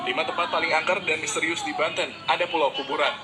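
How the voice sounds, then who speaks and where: A man reading a scripted list narration in a smooth, even tone. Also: on the high side, fast, roomy sound, rough recording. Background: loud background music.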